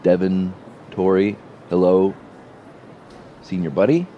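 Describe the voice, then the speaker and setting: An actor playing an insinuating, falsely friendly space-station policeman, drawling and halting as he needles, in an audio drama. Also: sits low, fairly even intonation, phone-quality sound, a little hiss or hum.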